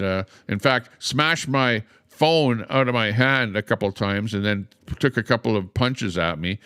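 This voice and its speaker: A man's voice: sits low, fairly bright tone, clean tone, no room sound, studio quality. An older man hosting a livestream, explaining at length with frequent "uh"s and a repeated "okay?". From Canada. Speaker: livestream host. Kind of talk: casual livestream monologue.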